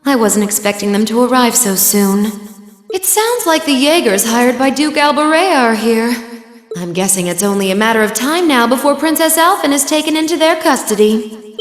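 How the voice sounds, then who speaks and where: A woman's voice: fairly high pitch, expressive, normal speed, close to an everyday voice. A woman voicing an elegant, coy villainess sorceress in a video game, sultry and condescending as she teases. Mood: mock concern. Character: elegant, teasing villainess sorceress speaking through her bird familiar. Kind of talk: English video game voice acting. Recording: roomy long reverb, music underneath. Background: music.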